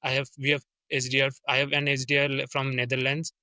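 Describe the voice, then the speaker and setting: Bright-voiced Indian man explaining earnestly. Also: medium pitch, monotone, a little fast, dry recording. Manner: earnest, restarts often, many "uh" fillers. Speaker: sales development representative, guest. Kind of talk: casual interview conversation.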